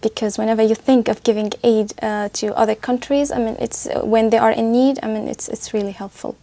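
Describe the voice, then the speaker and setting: Dark, flat-voiced woman, hesitant. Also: on the high side, a little fast, no room sound. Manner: hesitant, restarts often. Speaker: test candidate. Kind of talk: spoken exam answers.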